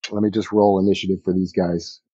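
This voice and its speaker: A man improvising narration as a game master, speaking in short phrases with pauses between them. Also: deep voice, level tone, mellow, husky, no room sound, highs cut off.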